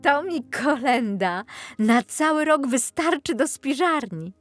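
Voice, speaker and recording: Woman voicing a small, practical, high-pitched gnome. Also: animated, takes it slowly, dry recording, faint music behind. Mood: pleased, greedy. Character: small male household gnome, practical, fond of honey. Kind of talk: children's audiobook character.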